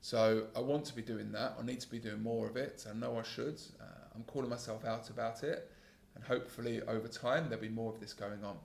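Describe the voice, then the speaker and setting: A man in his thirties hosting a solo podcast, talking in a calm, earnest, self-critical way about his work as a sales trainer, with a gravelly voice. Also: mid-range voice, fairly quick, roomy sound. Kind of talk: solo reflective podcast monologue.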